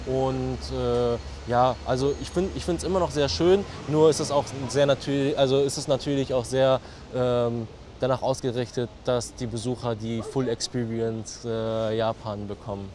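A man's voice: medium pitch, steady tone, normal speed, few pauses, noisy background. A man in his thirties from Germany, a ceramic artist, speaking in a TV interview, hesitant with many fillers and restarts. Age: 30s.

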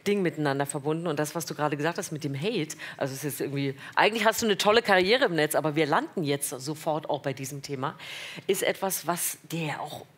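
A woman hosting a conversational interview, with a husky voice. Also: sits low, quick pace. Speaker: interview host.

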